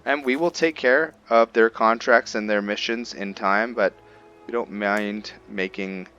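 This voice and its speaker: A man doing casual roleplay commentary over a video game, thinking aloud in a halting way. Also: fairly low pitch, pushed and bright, muffled recording. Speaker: gaming video host. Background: game music.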